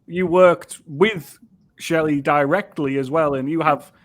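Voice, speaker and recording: Male host, a bit high-pitched, asks meandering questions. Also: fairly even intonation, a little slow. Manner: rambling, loosely phrased questions. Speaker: interviewer. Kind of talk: casual interview talk.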